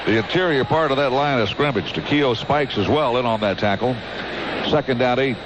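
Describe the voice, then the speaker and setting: A man's voice: medium pitch, a little fast. Noisy radio football announcer, American man. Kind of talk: live American football commentary. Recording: very noisy old radio game broadcast.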